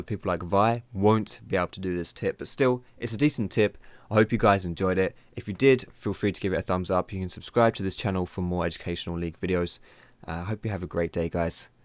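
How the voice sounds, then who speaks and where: A man with a slightly croaky, hoarse voice, casually talking through a gameplay tutorial, rambling and correcting himself as he goes. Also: on the low side, no echo. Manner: chatty, rambling with self-corrections. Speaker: game tutorial host.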